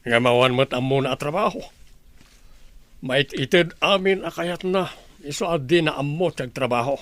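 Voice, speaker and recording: A man's voice: a little high, moderate pace, fairly bright tone, no echo, close to an everyday voice. A man playing a skeptical middle-aged father in a radio comedy drama, blunt and disapproving. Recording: clear background noise with clicks.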